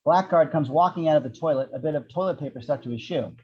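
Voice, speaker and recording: A man's voice: on the high side, fast talker, breathy edge, dull audio. A man reading scripted action descriptions as narrator of an amateur table read. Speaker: narrator of stage directions.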